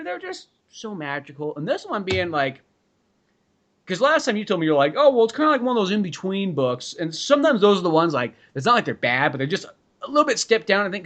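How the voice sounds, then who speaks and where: A man's voice: a little high, a bit bright. Jokey male podcast co-host. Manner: jokey, rambling, breaks into quoted voices. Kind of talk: casual chat.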